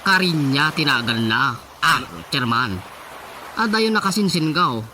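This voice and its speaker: A man plays a gossipy village councilman in an Ilocano radio comedy. His voice is sharp, bright and a bit high, with a Filipino accent and a teasing, chatty delivery over faint noise. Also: expressive, a little slow, heavily acted.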